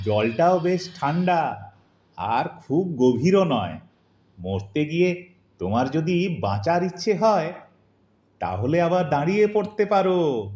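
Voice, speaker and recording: A man's voice: fairly high pitch, big pitch swings, slow, pauses often, darkish tone, muffled recording. An actor playing a hesitant, fatherly old man in an audio drama, speaking in a plaintive, coaxing way. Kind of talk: Bengali audio drama acting. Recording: roomy, echoing voice recording.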